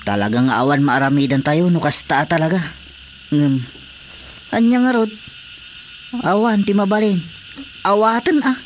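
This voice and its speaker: A man's voice: a little high, expressive, moderate pace, pauses often, lightly acted. A man playing a disgruntled, jealous husband who grumbles and complains in an Ilocano-accented radio drama comedy. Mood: complaining. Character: disgruntled husband jealous of his wife's cat. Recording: clearly noisy radio drama recording.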